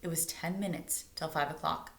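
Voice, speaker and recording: A woman's voice: low-pitched, steady tone, normal speed, creaky, a bit bright. A woman reading a children's story aloud expressively as a storyteller, recorded in an echoey room. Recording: roomy, echoey indoor sound.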